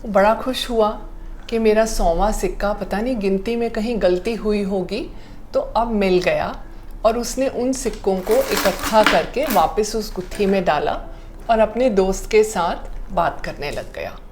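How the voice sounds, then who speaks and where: A woman's voice: mid-range voice, measured pace, darkish tone. Female storyteller, Indian accent, conversational narration, leisurely pace, slight background noise.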